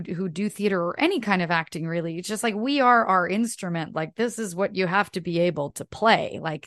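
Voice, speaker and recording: Chatty woman, french first language, animated stories, dry mic. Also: medium pitch, no echo. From Canada. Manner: chatty, many "like" fillers, animated storytelling. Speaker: actress, podcast guest. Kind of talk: casual interview chat.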